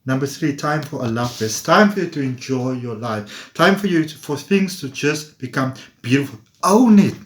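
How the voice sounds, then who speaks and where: A man's voice: medium pitch, animated, normal speed, few pauses. A man giving a playful, chatty tarot reading, laughing often and talking to viewers. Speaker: tarot reader. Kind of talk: casual tarot reading monologue.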